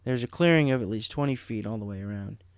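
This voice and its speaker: A man's voice: on the low side, quick pace, smooth, no room sound. A man reading a scripted story as its narrator. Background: background music.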